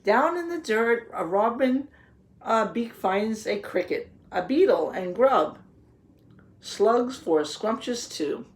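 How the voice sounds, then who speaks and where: A woman reading a children's picture book aloud, pausing to explain things simply and put questions to the young listeners. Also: a little high, expressive. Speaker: read-aloud teacher.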